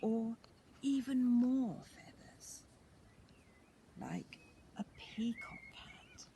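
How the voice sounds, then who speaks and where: A woman voicing a polite, imaginative little girl in a children's storybook, eager and expressive. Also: a little high, drawn-out words, a little hiss or hum, pitched above the performer's own voice. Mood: wondering.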